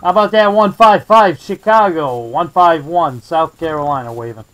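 American man, high voice, courteous CB operator handing out call signs and sign-offs, slight background hiss. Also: expressive, moderate pace, highs cut off. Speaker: CB radio operator, video maker. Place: indoor radio desk.

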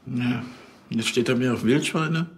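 A man's voice: mid-range voice, measured pace, music in the background, lightly acted. An actor with a Bavarian accent playing a grumbling, sceptical police officer who tags questions onto his statements. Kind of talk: naturalistic TV drama acting.

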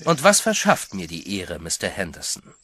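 A man's voice: sits low, huge pitch swings, moderate pace, bright, forceful tone, no echo, studio quality, heavily acted. An actor playing a sinister male hermit in a horror audio drama, with silky, mocking politeness. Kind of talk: radio drama acting.

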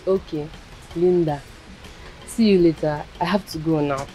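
A young actress playing a devoted teenage granddaughter who speaks earnestly and respectfully. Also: female voice, low-pitched, a little slow, warm tone, faint music behind. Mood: casual.

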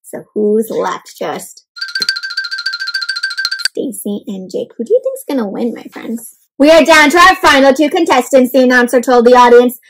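A young woman with a high, slightly breathy voice reads a picture book to children, full of expression. She keeps stopping to ask her listeners questions. Soft music plays faintly under her voice. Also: lively intonation, normal speed, low-quality recording. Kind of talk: interactive picture-book read-aloud. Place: indoors in front of a leafy backdrop.

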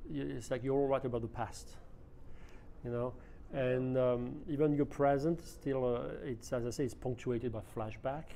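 A man's voice: medium pitch, fairly even intonation, normal speed, vocal fry, dark tone, a little hiss or hum. A man hosting a round-table interview, asking hesitant, searching questions at a restaurant table. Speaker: host. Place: restaurant dining table, indoors.

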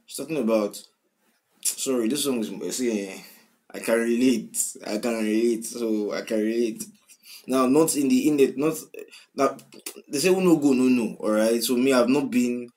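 A man in his twenties with a Nigerian accent, reacting to a song in casual commentary, swinging from loud outbursts to quiet mumbling, recorded on a webcam in a small room. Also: mid-range voice, unhurried, long pauses. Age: 20s. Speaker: music reaction host. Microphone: webcam at desk, wearing headphones.

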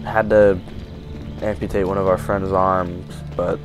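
Guy doing a deep flat-toned survivor in a game roleplay, reassuring, matter of fact, music behind him. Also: measured pace. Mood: earnest, reassuring. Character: reassuring male survivor of a zombie apocalypse. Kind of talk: improvised game roleplay drama.

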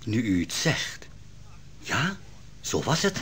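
A man's voice: mid-range voice, sing-song, slow pace, noisy background. An actor playing a worried, brooding man reporting on the trial in an old radio drama.

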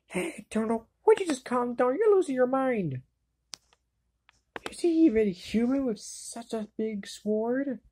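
A woman's voice: on the high side, lively intonation, unhurried, warm tone, breathy edge, voice pushed up. A woman voicing a brash, cocky, hot-headed young sidekick in an anime fan dub, slangy, mouthy and exclamatory, on an amateur home recording. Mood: baffled, sarcastic. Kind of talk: anime fan-dub acting, solo voice clips.

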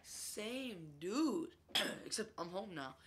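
A young man commentating his own gameplay in a loose, rambling way, full of ums and asides. His voice is bright but stuffy and congested. He talks into a desk mic over the game. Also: sits high, expressive, drawn-out words, slightly rough. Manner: chatty, rambling, filler-heavy. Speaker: gaming YouTuber.

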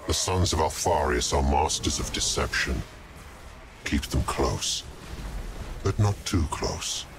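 A man playing a sinister, scheming zealot advisor to a villain in a game cutscene, with deliberate, portentous delivery. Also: very deep voice, lively intonation, creaky, noisy background, full character voice. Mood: cautioning, sly. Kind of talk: dramatic video game cutscene acting. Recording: music under the voice.

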